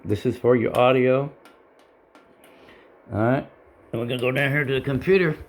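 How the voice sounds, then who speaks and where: Male hobbyist tutorial voice: creaky and gravelly, folksy, unpolished, stop-and-start delivery. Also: medium pitch, fairly even intonation. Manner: halting, folksy, plain-spoken. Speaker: hobbyist giving a how-to demonstration. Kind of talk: casual unscripted tutorial. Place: indoor home radio room.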